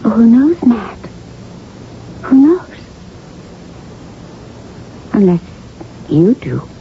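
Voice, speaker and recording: An actress in an early 1980s radio drama, playing a sly, teasing confidante with a languid, insinuating manner. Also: female voice, fairly high pitch, very animated, drawn-out words, heavily acted. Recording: muffled old radio broadcast, faint hiss.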